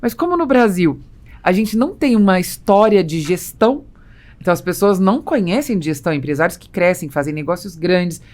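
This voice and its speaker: A woman's voice: lowish voice, lively intonation, fairly quick, darkish tone. A Brazilian woman hosting a business podcast, explaining her opinions in a conversational way. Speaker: podcast host and interviewer.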